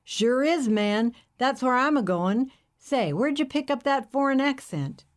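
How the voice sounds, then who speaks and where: A woman putting on a laid-back middle-aged hippie trucker with a folksy drawl, pitched a little higher and louder than her narration. He sounds genial and unhurried. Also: lively intonation. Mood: friendly.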